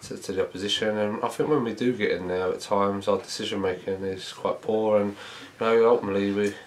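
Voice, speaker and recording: A man, a football manager giving a measured post-match interview, thinking aloud with many fillers. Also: lowish voice, steady tone. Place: small indoor press room before a sponsor board.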